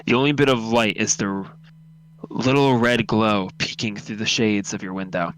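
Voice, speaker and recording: A man's voice: fairly low pitch, fairly even intonation, moderate pace, a bit bright, rough recording. A man narrating a second-person horror story as a live radio drama read-through.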